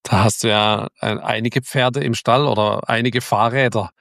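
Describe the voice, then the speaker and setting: A man's voice: medium pitch, fairly even intonation, normal speed, vocal fry, fairly bright tone, dry recording, clean studio sound. A man hosting a podcast, opening the episode and interviewing his guest in a casual, informal way. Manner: chatty, informal, with frequent restarts. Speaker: podcast host.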